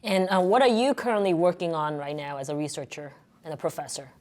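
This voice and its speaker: A woman's voice: low-pitched, fairly even intonation, quick pace, a little mellow. A woman hosting a conversational interview, putting questions and remarks to her guest. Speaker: interview host.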